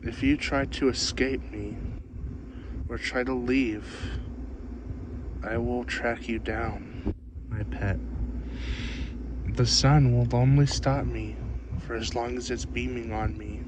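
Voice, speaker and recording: A man playing a possessive, hungry vampire in soft, breathy ASMR roleplay, doting on his captive human with lingering, savoring pauses. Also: fairly even intonation, background noise, close to an everyday voice. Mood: quietly menacing. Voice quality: soft, breathy low-volume voice.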